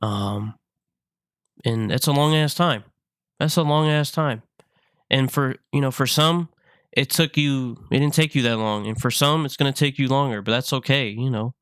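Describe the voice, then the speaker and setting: Guy in his twenties, feeling sick, stumbling over his words but earnest, clear voice, dead dry sound. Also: medium pitch, a bit bright, no echo. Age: 20s. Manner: halting, repeats himself, earnest. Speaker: vlogger. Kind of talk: personal confessional vlog.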